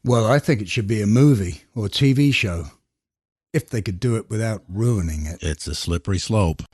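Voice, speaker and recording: Low-voiced man hosting a podcast. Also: animated, normal speed, a little mellow, breathy edge. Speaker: podcast host. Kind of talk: conversational literary interview.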